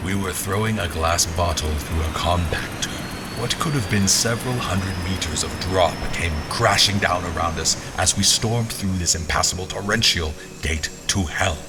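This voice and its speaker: A man playing a seasoned general who narrates his sea adventure in a measured, literary, dramatic style for an audio drama. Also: low voice, heavy background noise, lightly acted. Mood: grave, tense. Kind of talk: dramatic first-person scripted narration. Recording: music under the voice.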